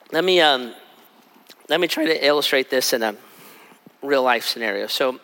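A middle-aged man preaches in a relaxed, conversational way, now and then slipping into quick acted-out asides. His voice is raspy and bright, a little higher than most men's. A long reverb from the hall trails behind every phrase. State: raspy from a cold trip. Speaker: pastor. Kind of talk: sermon.

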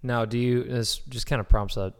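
A man's voice: medium pitch, fairly even intonation, normal speed, gravelly, smooth, big-room sound. A man in his late twenties co-hosting a casual conversation and putting questions to the others. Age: late 20s. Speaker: podcast co-host asking questions.

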